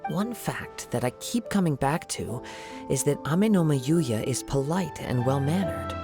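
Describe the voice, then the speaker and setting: An actor playing a gentle young wandering samurai in an anime-style video game, speaking politely and formally, with composure and thought, music behind him. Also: male voice, a little high, animated, a little slow. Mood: pondering. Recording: music under the voice.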